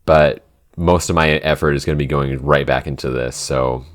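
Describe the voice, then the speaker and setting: A man with an extremely deep voice talks casually as a podcast co-host. He works things out as he speaks, rambling and qualifying himself, with an enthusiastic, unscripted delivery. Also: fairly even intonation, normal speed. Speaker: podcast co-host and show creator.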